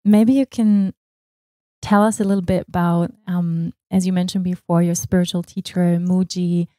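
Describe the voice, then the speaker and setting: A woman hosting a yoga podcast, putting her questions gently and hesitantly. Her voice sits fairly low, sounds warm and mellow, and stays flat in pitch. It is recorded close and dry. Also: moderate pace, dry recording. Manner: gentle, hesitant phrasing with "um" and "maybe". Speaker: podcast host, yoga teacher. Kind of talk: interview questions.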